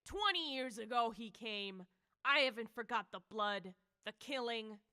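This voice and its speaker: A woman's voice: on the high side, lively intonation, measured pace, pushed and bright, smooth. A woman playing a bitter, grumbling, folksy old peasant woman in old-time radio horror style. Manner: querulous, scolding, folksy.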